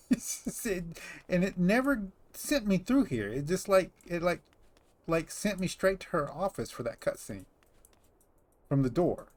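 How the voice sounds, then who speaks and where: A man's voice: high-pitched, sing-song, unhurried, long pauses, a little mellow, no room sound. A middle-aged man chatting casually over gameplay as a host, rambling and teasing as he goes. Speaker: gaming host.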